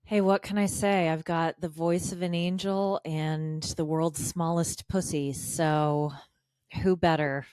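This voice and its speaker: A woman co-host chatting casually on a podcast, with crude, self-deprecating jokes. Her voice sits on the low side. The sound is dry and close. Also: fairly even intonation, slow, darkish tone, clean tone, no room sound. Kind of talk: casual comedic chat.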